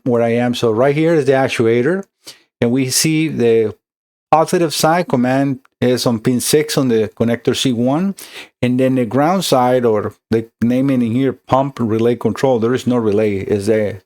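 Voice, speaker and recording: A man's voice: mid-range voice, moderate pace, darkish tone. A middle-aged man from Costa Rica with a Costa Rican Spanish accent, conversationally explaining an electronics repair as a technician, with many fillers and restarts.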